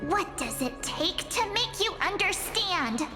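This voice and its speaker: A woman voicing a cute, childlike memory sprite in a video game, earnest and pleading, with a squeaky voice. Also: big pitch swings, slow pace, exaggerated voice. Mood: earnest, insistent. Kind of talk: game voice acting, dramatic dialogue. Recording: long echoey reverb, music behind.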